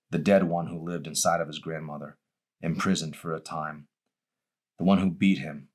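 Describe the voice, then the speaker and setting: Deep-voiced man narrating a novel dramatically. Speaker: author reading own novel.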